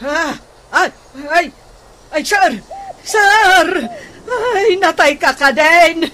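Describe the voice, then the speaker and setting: A woman playing an excitable older household maid in a comedic radio drama, with a melodramatic, exclaiming way of talking. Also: extremely high pitch, extremely slow, heavily acted. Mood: wailing, panicked. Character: excitable older household maid fretting over unpaid wages. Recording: noisy radio drama recording.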